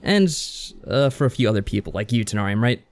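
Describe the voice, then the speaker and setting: A man's voice: lowish voice, lively intonation, quick pace, a little mellow. A man co-hosting a podcast, casually reviewing and summing up games in a conversational way. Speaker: podcast co-host. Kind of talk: casual review and plot summary.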